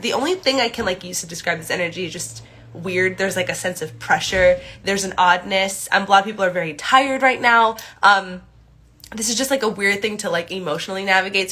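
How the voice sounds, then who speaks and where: A young woman with a stuffy, congested nose, chatting casually to viewers on a phone livestream with lots of filler words, recorded in a small room. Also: medium pitch. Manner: chatty, many "like" and "um" fillers, greets viewers brightly. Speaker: astrology livestream host. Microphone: phone camera at arm's length.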